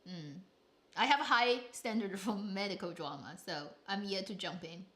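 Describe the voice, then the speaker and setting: A woman's voice: mid-range voice, normal speed, pushed and bright. A woman hosting a casual solo chat, giving blunt opinions with lots of restarts and trailing asides. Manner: opinionated, rambling with frequent restarts. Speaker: drama review host.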